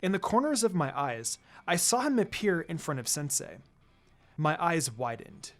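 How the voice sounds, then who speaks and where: A man's voice: a little high, sing-song, normal speed, a bit bright. A man reading fan fiction aloud as dramatic first-person story narration. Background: background music.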